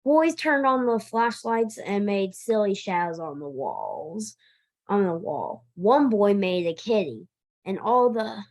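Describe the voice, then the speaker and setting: A woman reads a picture book aloud for young children at an unhurried pace. Her pitch rises and falls freely, with emphatic, expressive phrasing and an occasional stumble over a word. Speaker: library director reading a picture book aloud. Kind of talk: animated children's storytime read-aloud.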